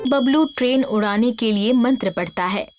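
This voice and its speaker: A woman works as a storyteller, reading a scripted children's tale. Her voice is fairly high, and music plays clearly behind her. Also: moderate pace. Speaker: story narrator.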